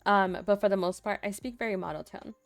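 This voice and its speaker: A young woman streamer in casual chat at home, talkative and laughing often, acting out quotes in a higher voice. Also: steady tone, fast talker, vocal fry, no room sound, faint music behind. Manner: chatty, laughs often, acts out quotes in a high voice. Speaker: streamer host. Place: home room.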